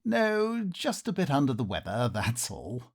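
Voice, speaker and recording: A suspicious Victorian nobleman in a comic radio play. His delivery is formal and melodramatic, with a highly animated pitch. He sounds like an uneasy guest telling his own tale. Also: a little slow, full character voice. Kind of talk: old-time radio theatre comedy acting.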